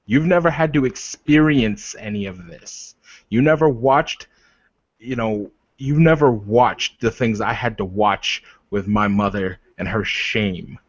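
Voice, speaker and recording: A man's voice: fairly low pitch, dry recording. A man playing a proud, protective werewolf leader in improvised roleplay, arguing insistently with repeated phrases and pointed questions. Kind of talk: improvised in-character roleplay dialogue. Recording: dull, lo-fi voice recording.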